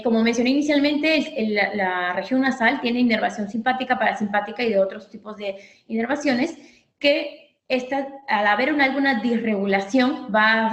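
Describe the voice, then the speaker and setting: Female doctor, teaching tone, fairly high voice, frequent "ok?" check-ins, roomy reverb. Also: fairly bright tone, highs cut off. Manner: didactic, repeats points, checks in with "¿ok?". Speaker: physician lecturer. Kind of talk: medical training lecture. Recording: echoey room.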